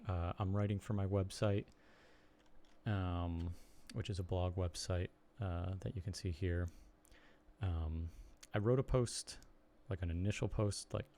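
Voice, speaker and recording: A young man with a Chicago accent, chatting casually and haltingly to viewers on a live stream from his computer desk. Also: low voice, mellow. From Chicago area, United States. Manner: rambling, halting, lots of ums and restarts. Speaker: streamer and blogger.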